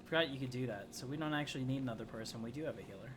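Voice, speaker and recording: A man's voice: mid-range voice, racing, background noise. A man giving casual gameplay commentary as the host of a let's play. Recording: loud game music under the voice. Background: loud game music.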